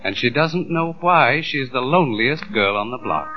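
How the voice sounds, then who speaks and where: Casting: male actor as sing-song verse narrator, animated, 1940s radio commercial with music underneath. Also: mid-range voice, normal speed, lo-fi sound, close to an everyday voice. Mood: mock gloomy. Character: sing-song storyteller of rhyming radio jingle tale. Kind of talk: rhymed 1940s radio ad recitation.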